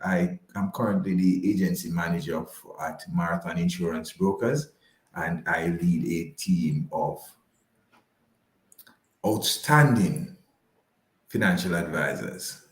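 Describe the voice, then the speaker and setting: A man speaking as an interview guest, measured and courteous, with slightly formal wording. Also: low voice, reverberant. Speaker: interview guest, financial advisor. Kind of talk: conversational radio interview.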